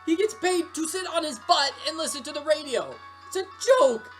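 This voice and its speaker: A woman's voice: mid-range voice, expressive, brisk, faint music behind, close to an everyday voice. A woman voicing a fed-up, sarcastic young male pizza worker who complains bitterly in a story. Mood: angry ranting. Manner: exasperated, complaining.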